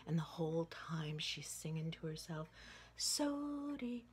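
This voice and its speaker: A woman in her sixties telling a children's story in a dramatic, folksy way with emphatic pauses. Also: low voice, monotone. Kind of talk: animated oral storytelling for children. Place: indoor room with wooden ceiling. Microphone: phone at arm's length.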